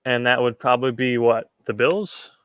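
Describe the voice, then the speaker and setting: Young guy chatting on his stream, rambling, lots of ums, mid-pitched voice, dry close mic. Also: moderate pace, fairly bright tone, no room sound. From Puerto Rico. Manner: rambling, repeats words, frequent ums. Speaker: livestream host answering chat. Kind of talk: casual livestream chat.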